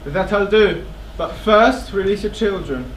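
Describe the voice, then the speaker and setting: A man's voice: high voice, a little slow. A man on stage playing a stern, dutiful but pitying royal kinsman in a classical tragedy, speaking formally and with command. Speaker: stage actor. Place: indoor theatre stage. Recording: echoey theatre, distant microphone, faint noise.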